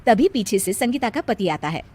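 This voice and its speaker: A woman's voice: medium pitch, animated, normal speed, darkish tone, husky. A woman narrating a scripted story for a cartoon video.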